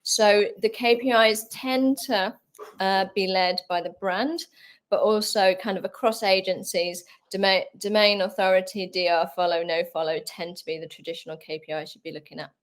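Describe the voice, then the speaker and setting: A woman's voice: medium pitch, fairly even intonation, moderate pace. A woman who heads a company, explaining her work as a webinar panelist in a businesslike way with frequent fillers. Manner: businesslike, filler-heavy with kind of and um. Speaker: CEO and co-founder, panelist. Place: video call.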